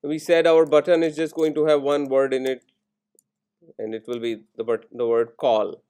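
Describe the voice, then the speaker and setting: A man lecturing in programming, explaining each step in short instructional phrases with pauses between them. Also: mid-range voice, flat delivery, warm tone, clear, resonant tone. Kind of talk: step-by-step classroom coding tutorial.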